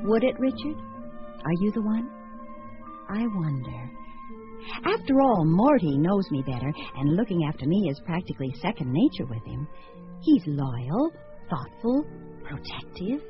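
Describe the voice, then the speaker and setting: A woman's voice: fairly low pitch, big pitch swings, a little slow, pauses often. An actress in a radio drama playing a wry, romantic single woman who muses and questions herself theatrically. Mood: pondering. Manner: theatrical, musing, self-questioning. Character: Laura, wry romantic single woman weighing her suitors. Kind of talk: radio drama acting, monologue and dialogue. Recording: muffled audio with music.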